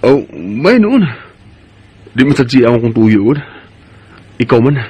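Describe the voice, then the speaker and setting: A man's voice: medium pitch, big pitch swings, measured pace, mellow, breathy edge. A man with a Cebuano Bisaya accent playing a lovesick married friend, insistent and sulky, in a radio drama. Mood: sweet, wooing.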